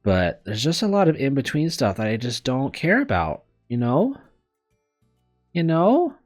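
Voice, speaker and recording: A man's voice: medium pitch, very animated, moderate pace, soft background music. A man talking casually as a let's player, rambling hesitantly with sighs and trailing thoughts. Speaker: let's player VTuber host. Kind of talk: casual rambling vlog commentary.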